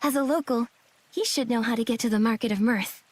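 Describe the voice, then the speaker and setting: A woman as a high-voiced plucky young heroine. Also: fairly quick, a little mellow, no echo, natural voice. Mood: alert, determined. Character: plucky indignant young female stylist adventurer. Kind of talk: game cutscene voice acting.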